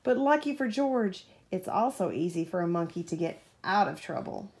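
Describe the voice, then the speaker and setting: A woman reading a children's story aloud as a mother at bedtime, in an animated way, pausing to put questions to a child. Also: lowish voice.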